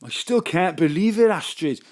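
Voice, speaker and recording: A man's voice: sits high, animated, normal speed. A man playing a maudlin Welsh pharmacy clerk in mourning, mournful and plain-spoken, in a comic audio drama with a Welsh accent. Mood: maudlin, grieving.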